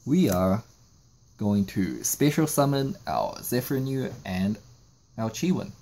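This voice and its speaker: Mellow man with swooping, lively pitch. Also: unhurried. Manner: explanatory, walking through moves in sequence. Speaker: trading card game YouTuber. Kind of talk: step-by-step card combo tutorial narration.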